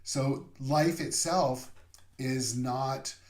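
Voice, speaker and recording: Slow reflective male minister, roomy sound. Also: medium pitch, steady tone, a little mellow. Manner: slow, reflective, pausing between phrases. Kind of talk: sermon. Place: room, via online service. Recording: roomy echo.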